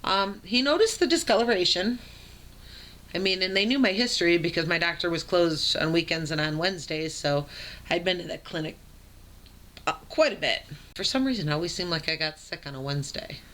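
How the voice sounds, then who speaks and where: A young adult woman with a raspy low voice, telling her own story in a casual vlog, plain and matter-of-fact with many ums and uhs. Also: animated, a little fast, a bit bright. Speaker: vlogger.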